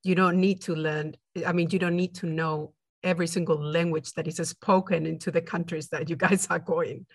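A woman hosting a podcast interview, upbeat and quick, often laughing as she talks. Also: lowish voice, little pitch movement, dry recording, clean studio sound.

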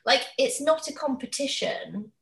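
A woman's voice: sits high, sing-song, measured pace, vocal fry, fairly bright tone. A woman, talking candidly and chattily in a casual conversation. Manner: chatty, candid, acts out others' questions. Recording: roomy, echoey sound.

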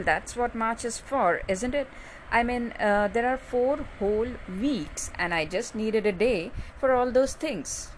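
A woman doctor with an Indian English accent talks through a relaxed podcast episode. Her voice sits at an ordinary middle pitch, and her talk is loose and full of "uh"s. A faint background noise runs under the recording. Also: low-quality recording. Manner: chatty, with frequent "uh" fillers. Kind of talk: casual podcast monologue.

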